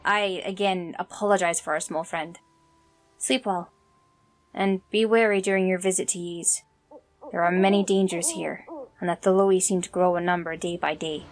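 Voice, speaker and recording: A woman playing a courteous, watchful forest ranger leader in a fantasy audio story, speaking in a formal, measured, polite way. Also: fairly low pitch, fairly even intonation, slight background noise, lightly acted. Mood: polite, cautioning.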